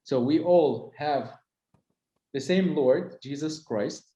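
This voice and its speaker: Soft-toned guide whose native tongue is Arabic. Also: male voice, on the high side, takes it slowly, roomy sound, rough recording. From Holy Land. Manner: hesitant, many fillers and restarts. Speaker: tour guide. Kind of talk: casual conversation.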